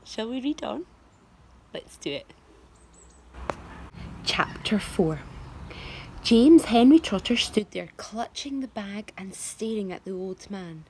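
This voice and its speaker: Expressive woman teacher reading aloud. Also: mid-range voice, slow pace, pauses often, a little hiss or hum. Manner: expressive, asks listeners questions. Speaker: primary school teacher. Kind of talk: reading a children's book aloud.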